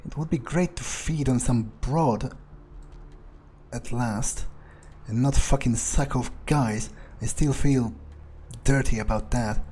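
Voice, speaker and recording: A man with a soft, dark tone plays a game in character, voicing his thoughts aloud. His delivery is very slow and musing, with crude muttered asides. Faint noise and game ambience sit behind him. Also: medium pitch. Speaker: role-playing game streamer, speaking in character. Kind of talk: in-character gameplay commentary, thinking aloud.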